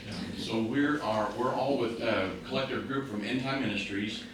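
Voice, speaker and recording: A man's voice: medium pitch, normal speed. A man with an American accent making informal remarks as the leader of a visiting group. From United States. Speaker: tour group leader and radio program host. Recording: very echoey, distant, noisy room.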